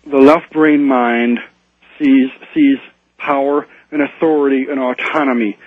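A man appearing as a radio guest over the phone, explaining ideas in a halting way with many ums and repeated words. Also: mid-range voice, steady tone, no room sound. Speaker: guest author. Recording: phone line, tinny and dry.

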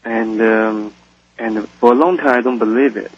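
A man from Taiwan calling into a radio show, talking haltingly and searching for words. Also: fairly low pitch, no room sound. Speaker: caller. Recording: muffled dry phone line.

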